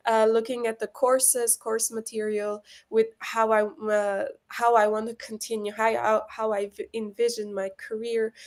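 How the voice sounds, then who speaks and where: A young woman from Lebanon speaks as the guest on a webinar, talking casually and often restarting her sentences. Her voice sits fairly high and stays within a narrow, flat range. She comes through a video call. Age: young adult. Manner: casual, frequent fillers and restarts. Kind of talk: webinar interview.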